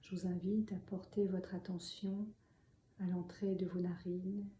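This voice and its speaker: A woman meditation teacher guiding a sitting meditation in a calm, soft, breathy voice that often nears a whisper, giving gentle instructions with long pauses. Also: on the low side. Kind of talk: guided meditation. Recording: roomy echo, faint background noise.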